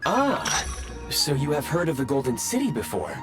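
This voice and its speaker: Polite machine guide: formal, precise, mid-pitched, lively intonation, game character with music behind. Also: male voice. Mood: composed. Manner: formal, measured, precise wording. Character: polite, composed robotic train conductor and helpful guide. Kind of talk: video game voice acting.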